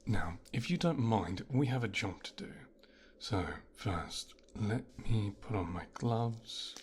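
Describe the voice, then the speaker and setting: A man playing a mafia boss's son in an ASMR roleplay, softly and slowly examining his captive with gentle, clinical condescension in a breathy, whispery voice. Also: on the low side, lively intonation, slight background noise. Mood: cool, businesslike.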